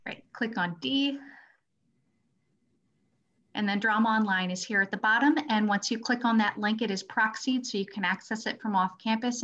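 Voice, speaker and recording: A woman with a mid-pitched voice hosts an online webinar, casually giving housekeeping notes and instructions. The sound is dull and lo-fi, picked up over a video call. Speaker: librarian hosting the webinar. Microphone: video call microphone.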